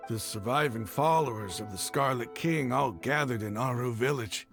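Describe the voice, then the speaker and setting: A man playing a proud, embittered desert mercenary leader in a video game, with dramatic, halting, emotional delivery. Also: medium pitch, vocal fry, a bit bright, faint music behind. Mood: bitter, anguished.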